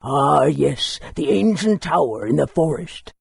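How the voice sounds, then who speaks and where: An old scholarly sage in a fantasy game, wordy and instructive. The voice is extremely hoarse-edged and crackly, rising and falling expressively. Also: male voice, fairly high pitch, expressive, a little slow, no echo, studio quality, heavily acted. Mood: helpful, wise. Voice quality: very raspy, creaky. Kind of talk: fantasy video game character acting.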